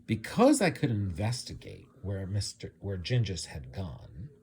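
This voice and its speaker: A man reading a children's storybook aloud as a teacher, with dramatic and deliberate phrasing. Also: deep voice, wildly swooping pitch, gravelly, darkish tone, slight background noise. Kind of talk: expressive children's storybook narration.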